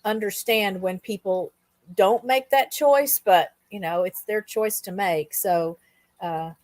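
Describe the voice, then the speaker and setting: A woman hosting a casual interview, speaking conversationally and reflectively, pausing in mid-thought. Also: medium pitch, a little mellow, no echo. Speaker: host, interviewer.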